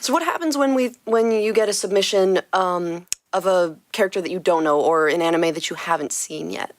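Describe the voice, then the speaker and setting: A woman's voice: fairly low pitch, brisk, no echo. A woman hosting an interview, asking questions in a friendly way and laughing often. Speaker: podcast host and narrator. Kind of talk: casual interview chat.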